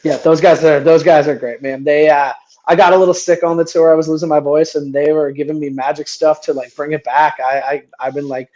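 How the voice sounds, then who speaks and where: A man's voice: on the high side, little pitch movement, fairly quick, a little mellow. Forties guy from canada rambling on a radio interview, laughs a lot, kinda muffled audio. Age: 40s. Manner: rambling, restarts often, laughs easily. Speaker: band member guest.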